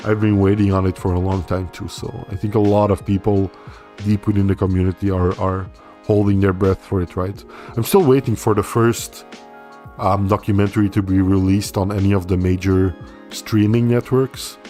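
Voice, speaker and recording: Relaxed low-voiced man, Dutch native. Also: moderate pace, soft background music. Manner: relaxed, rambling, reads viewer comments aloud and answers. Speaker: livestream host. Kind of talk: casual livestream chat reading comments.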